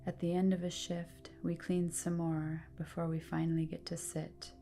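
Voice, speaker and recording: Calm woman doing a yoga nidra style guided meditation, even tone, bit of creaky fry, soft music behind. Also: on the low side. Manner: calm, soothing, deliberate phrasing with long pauses. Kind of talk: guided relaxation meditation, scripted narration.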